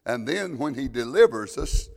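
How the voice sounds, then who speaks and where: A man with a loud voice teaching an evening Bible lesson in a folksy, plainspoken way with homespun jokes. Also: medium pitch, big pitch swings, normal speed, vocal fry, in a large hall.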